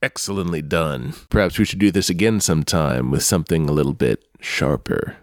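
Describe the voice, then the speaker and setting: A man playing a suave, sly underworld club owner in a comic noir radio play, speaking in a smooth, teasing, refined manner. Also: extremely low pitch, fairly quick, no echo, studio quality. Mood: composed, sly.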